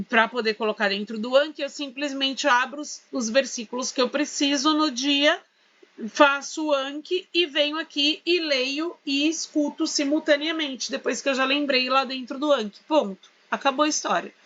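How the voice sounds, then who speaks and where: A woman's voice: sits high, fairly even intonation, moderate pace, fairly bright tone, lo-fi sound. A Brazilian woman teaching English in a casual walkthrough tutorial, chatty and often checking in with her students. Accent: Brazilian Portuguese. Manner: chatty, checks in with tag questions. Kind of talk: casual screen-share tutorial.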